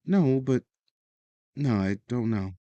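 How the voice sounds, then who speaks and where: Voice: medium pitch, lively intonation, slow, mellow, dry recording, highs cut off. An actor playing a cautious, doubting but determined teenager in an audio drama, speaking hesitantly and seriously.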